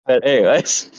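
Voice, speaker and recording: A man's voice: mid-range voice, a little mellow, dry recording. Rambling young man hosting a podcast. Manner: rambling, many fillers and laughs. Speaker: podcast host. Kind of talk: casual podcast chat.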